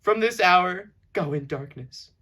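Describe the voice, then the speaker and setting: A man's voice: high voice, animated, unhurried, pushed and bright, exaggerated voice. A man playing an anguished, self-loathing king, lamenting in declamatory amateur puppet-show style. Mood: anguished accusation.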